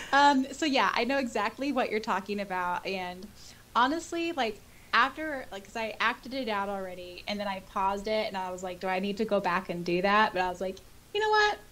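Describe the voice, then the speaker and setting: A woman co-hosting a podcast, chatting and reading comments aloud in an expressive, exclamatory way. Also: medium pitch, moderate pace. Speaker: podcast co-host. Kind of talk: casual chat, reading listener comments aloud.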